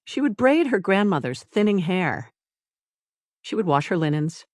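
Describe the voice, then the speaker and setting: A narrator reading a scripted dramatic story in measured, deliberate short phrases with pauses for effect. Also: mid-range voice, sing-song, dry recording, clean studio sound.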